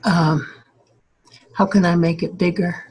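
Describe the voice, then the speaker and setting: A woman with a husky voice, speaking casually and haltingly as she works through a task as a participant. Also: lowish voice, steady tone, a little mellow. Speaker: participant in a readers theater recording. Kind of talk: casual, halting troubleshooting talk.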